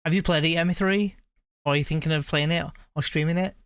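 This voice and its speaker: Casting: male streamer, slightly high, very clear voice, rambling casual live chat. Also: fairly even intonation, fast talker, fairly bright tone, dry recording, studio quality. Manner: rambling, musing aloud. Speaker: game streamer.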